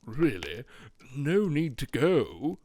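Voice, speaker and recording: Guy doing a grumpy old storybook bear, super slow, voice goes up and down a lot, kinda huffy. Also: big pitch swings, mellow, no room sound, exaggerated voice. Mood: grumbling. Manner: booming, huffy and dramatic. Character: grumpy big male bear, gruff.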